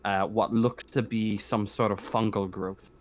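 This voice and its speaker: A man working as game master, narrating a sci-fi tabletop roleplaying game in a halting, improvised way. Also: fairly low pitch, fairly even intonation.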